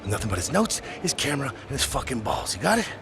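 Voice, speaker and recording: An actor playing a jaded, cocky middle-aged photojournalist with a gruff, sarcastic manner in a video game cutscene. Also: male voice, medium pitch, sing-song, fast. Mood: stern, instructing. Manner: sarcastic, gruff, swearing, bossy.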